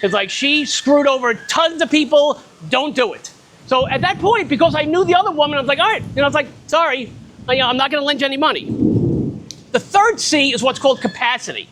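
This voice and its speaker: A man with a loud voice giving a casual, blunt talk at an investor meetup, speaking without a microphone in a restaurant. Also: extremely high pitch, fast talker, rough recording. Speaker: guest speaker on private lending. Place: restaurant event space in the rain.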